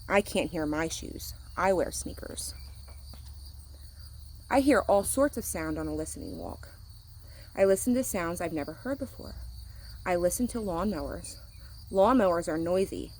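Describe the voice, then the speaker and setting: Lower-voiced woman reading a picture book. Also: very animated, normal speed, pauses often, a little mellow, a little hiss or hum. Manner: acts out sound words; simple, addressing young listeners. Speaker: teacher reading aloud to children. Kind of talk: picture-book read-aloud.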